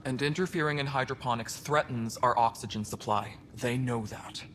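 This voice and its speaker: Man as pragmatic mid-pitched station superintendent. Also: moderate pace, a bit bright, slight background noise, lightly acted. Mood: firm. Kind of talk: video game dialogue acting. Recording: faint background ambience.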